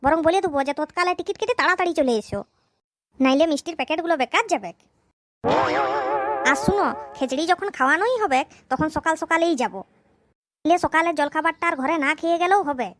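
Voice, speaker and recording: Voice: extremely high pitch, fairly bright tone, no room sound. A voice actor playing a greedy, chatty wife and mother in a cartoon, nagging and scheming. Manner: nagging, scheming, talks fast.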